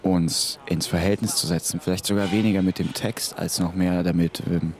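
Low, even-voiced man thinking aloud. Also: normal speed. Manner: abstract, searching for words. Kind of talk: reflective interview talk.

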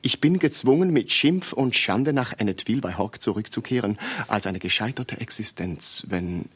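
Husky, fawning provincial amateur sleuth. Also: male voice, lowish voice, expressive, moderate pace. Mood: pleading, obsequious. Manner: obsequious, earnest, formal, pompous. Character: fawning provincial male amateur detective and blackmailing admirer. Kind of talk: German radio play acting.

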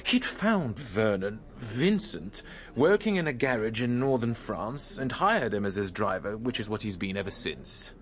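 Expressive, measured English gentleman, faint ambience. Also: lowish voice, a little hiss or hum. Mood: grave, reflective. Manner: measured, explanatory. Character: composed, thoughtful English gentleman recounting a friend's past. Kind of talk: radio drama acting, storytelling.

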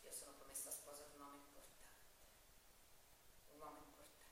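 An actress playing a naive, volatile Sicilian mafia bride addressing a judge in a stage drama, with a Sicilian accent and dramatic swings between confiding and outcry. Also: female voice, deep voice, completely flat delivery, a little fast, lightly acted. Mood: wistful, confiding. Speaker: stage actor. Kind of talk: theatrical monologue and dialogue. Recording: very echoey hall, distant mic, faint noise.

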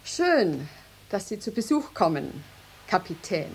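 A woman's voice: mid-range voice, very animated, slow pace, slight background noise. A woman acting a formal, courteous lady of a tower in a German radio drama. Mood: gracious.

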